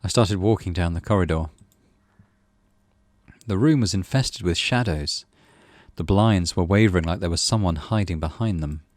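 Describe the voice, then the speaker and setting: A man reading visual novel narration as a gaming host, in an expressive, dramatic way. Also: low voice, normal speed, pauses often, no echo, studio quality. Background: music.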